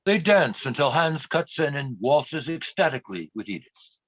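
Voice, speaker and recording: Actor as animated male narrator. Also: a little high, normal speed, no echo, lo-fi sound. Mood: wry storytelling. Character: wry male narrator looking back on a woman's life. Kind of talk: radio play narration.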